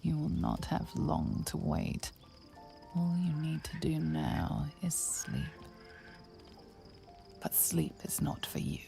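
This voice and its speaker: Brief: woman narrator, very low and gravelly voice, ominous game narration, whispery asides, music behind. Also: huge pitch swings, warm tone. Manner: slow ominous storytelling, dropping to a whisper. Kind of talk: scripted narration. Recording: game audio with music underneath.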